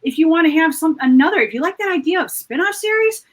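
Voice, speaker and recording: A woman's voice: very high-pitched, animated, fast talker. A woman speaking as a panelist, casually explaining and giving recommendations to fellow fans.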